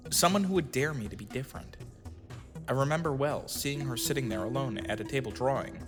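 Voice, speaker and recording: A man's voice: mid-range voice, a little fast, over music, lightly acted. A man acting in an audio drama as a reflective storyteller and his shy, self-conscious younger self. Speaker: narrator and lead actor telling his own story. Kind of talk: audio drama narration and acted dialogue.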